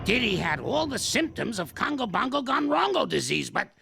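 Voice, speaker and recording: An actor voicing a crotchety old male ape in a cartoon series, barking insults in a gravelly voice. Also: extremely high pitch, big pitch swings, normal speed, sharp tone, dry recording, exaggerated voice. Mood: cranky. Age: older. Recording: clip with music behind. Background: music.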